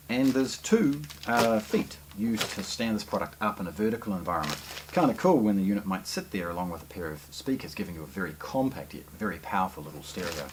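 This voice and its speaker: A man's voice: sits low, noisy background. A man giving unscripted unboxing commentary, explaining hesitantly and leaning on the openings of his phrases. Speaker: product presenter.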